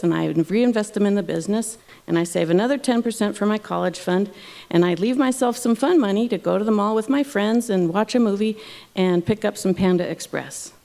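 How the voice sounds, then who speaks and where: A woman's voice: mid-range voice, vocal fry, dark tone, close to an everyday voice. Woman voicing a precocious know-it-all little bear cub.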